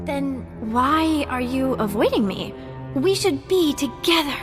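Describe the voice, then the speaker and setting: High, lilting, coy young heiress. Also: female voice, expressive, a little slow, music in the background. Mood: hurt. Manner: coy, gushing. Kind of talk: video game voice acting.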